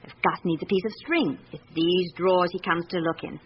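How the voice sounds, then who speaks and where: An actress with a British accent playing a candid, observant secretary in a radio drama, speaking in a measured, confiding way. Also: female voice, fairly low pitch, lightly acted. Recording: muffled radio recording with background hiss.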